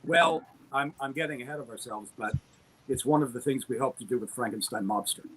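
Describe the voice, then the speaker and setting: Man, middle-aged, average pitch, enthusiastic rambling storyteller, conversational, noticeably noisy recording. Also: a little fast, a bit bright. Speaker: guest, audio drama producer. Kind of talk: conversational interview talk.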